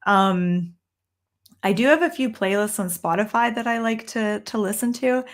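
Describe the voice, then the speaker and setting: A young woman with a Canadian accent speaks in a middle-pitched voice, answering viewer questions in a loose, conversational way. She thinks out loud, trails off and restarts her sentences, dropping in ums as she goes. It sounds like a home livestream recorded in a room downstairs. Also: moderate pace. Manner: chatty, thinks aloud with frequent ums and restarts. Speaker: tarot reader and livestream host. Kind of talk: casual livestream Q&A.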